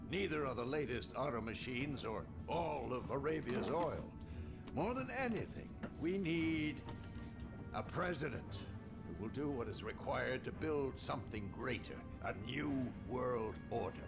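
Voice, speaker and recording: Stern, domineering military patriarch. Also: male voice, mid-range voice, expressive, a little slow, music in the background. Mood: grandiose resolve. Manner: commanding, rhetorical, forceful phrasing. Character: stern, domineering military father and schemer, male, middle-aged. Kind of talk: video game cutscene acting.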